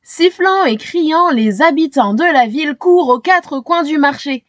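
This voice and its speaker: High, slow, lively woman storyteller. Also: no room sound, highs cut off. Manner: animated, dramatic, addresses young listeners. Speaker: storyteller reading a children's book aloud. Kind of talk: expressive scripted storybook narration.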